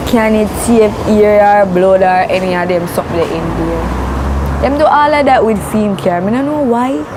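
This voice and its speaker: A woman's voice: medium pitch, rough recording. A woman with a Jamaican accent speaking casually in an unscripted interview. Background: background music.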